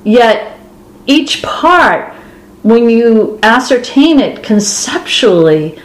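A woman's voice: a little high, big pitch swings, very slow. Earnest woman explaining ideas, roomy sound. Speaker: podcast co-host. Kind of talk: spiritual discussion. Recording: roomy echo.

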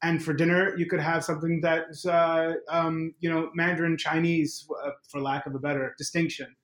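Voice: medium pitch, little pitch movement, moderate pace, echoey room. A podcast host asking long, meandering questions in a casual interview.

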